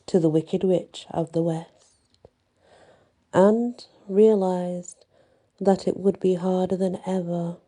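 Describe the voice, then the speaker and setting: A woman telling a bedtime story in an ASMR style, with deliberate, dramatic phrasing. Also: lowish voice, dark tone.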